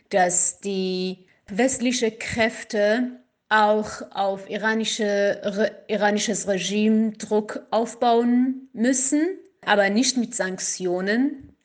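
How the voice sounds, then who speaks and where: Woman from iran, talks slow, lots of pauses and fillers, mid pitch. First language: Kurdish. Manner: hesitant, searching for words, frequent fillers. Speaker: interviewee, activist. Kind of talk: interview answers.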